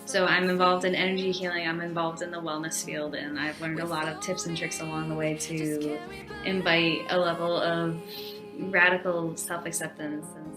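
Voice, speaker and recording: American female yoga teacher, casual diary delivery, even low-ish register, music behind. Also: normal speed. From United States. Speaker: yoga teacher and Reiki practitioner.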